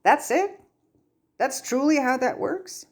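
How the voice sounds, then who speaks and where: A woman's voice: sits high, lively intonation, takes it slowly, voice pushed up, heavily acted. A woman voicing an awkward, easily flustered young male student in a story reading, hesitant and earnest. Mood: tentative, wondering.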